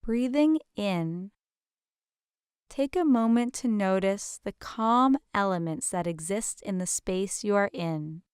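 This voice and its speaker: A woman leads a guided meditation in a gentle, soothing, invitational manner with deliberate pauses. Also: medium pitch, clean tone, no echo, studio quality.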